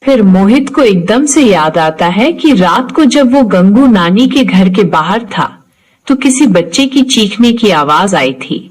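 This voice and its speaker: A woman's voice: mid-range voice, expressive, unhurried, breathy edge, low-quality recording. A woman narrator reading a scripted horror story in a storytelling style.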